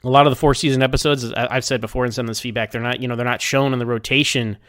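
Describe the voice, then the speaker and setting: A man's voice: mid-range voice, steady tone, rapid-fire, fairly bright tone, no room sound, clean studio sound. A man talking casually in a rambling, think-aloud way as he gives his review of TV episodes. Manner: conversational, hedging, thinking aloud.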